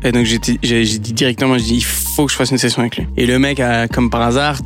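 A man's voice: medium pitch, flat delivery, quick pace, faint music behind. A man from Belgium, a DJ and producer, chatting as a guest in a radio interview, with many fillers and restarts. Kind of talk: casual radio interview chat.

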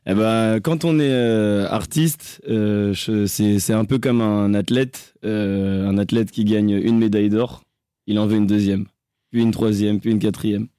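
A young man from France, a singer, answering interview questions in a hesitant, halting way with many restarts. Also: fairly low pitch, steady tone, warm tone, clear, resonant tone, dry recording, clean studio sound.

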